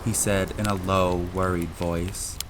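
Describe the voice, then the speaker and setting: A man reading dramatised story narration in an expressive storytelling style. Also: low-pitched, a little slow, noisy background. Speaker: narrator and audio drama maker.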